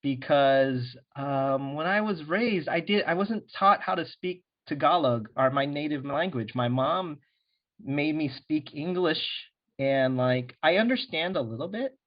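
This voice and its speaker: A man's voice: a little high, animated, measured pace. A middle-aged American man talking candidly as a guest in a casual interview, often restarting his sentences. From USA. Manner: earnest, candid, frequent restarts. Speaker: guest, animation director. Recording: dull lo-fi recording.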